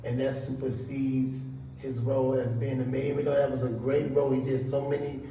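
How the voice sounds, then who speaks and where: A man's voice: mid-range voice, flat delivery, fairly quick, mellow, distant mic. A man from Southeast Washington, D.C. answering interview questions earnestly, often restarting his words as he searches for them. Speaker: interview guest. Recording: echoey room, voice a bit off-mic, faint noise.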